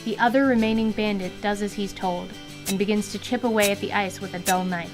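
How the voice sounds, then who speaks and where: A woman giving vivid, deliberate scripted narration for a fantasy radio drama. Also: medium pitch, steady tone. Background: music.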